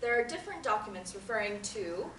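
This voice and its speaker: A woman's voice: mid-range voice, animated, fast talker, a bit bright, lightly acted. A woman reading the part of a bold, nosy therapy patient in a play, casual and sardonic. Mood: focused, curious. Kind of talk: dramatic table reading of a play. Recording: echoey room, distant mic, noise.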